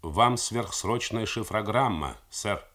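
A man's voice: lowish voice, lively intonation, slow, fairly bright tone. A man voicing a ship's radio operator who reports to his captain in formal, clipped phrases, in a radio reading of a novel. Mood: dutiful. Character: dutiful male ship radio operator, subdued.

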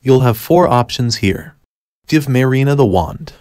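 Male guide narrator: mid-pitched, measured, instructive walkthrough read over game ambience. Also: animated, a little mellow, no echo, studio quality. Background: video game ambience.

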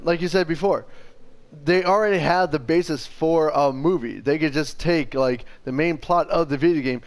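Young man, somewhat high-pitched, casual gaming chatter, slangy and sweary. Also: moderate pace. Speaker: gaming show host. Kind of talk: casual chat while playing a video game.

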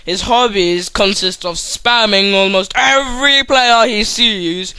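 A man's voice: high voice, slow pace, pushed and bright, smooth. A young adult man giving crude, sweary comic scripted narration.

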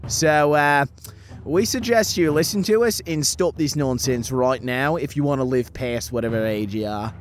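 A stern, weary male dragon acted by a man talks in a dropped, deeper register. He is deadpan and measured, as if issuing a dry cartoon warning over faint music. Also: lightly acted. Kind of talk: cartoon comic dub.

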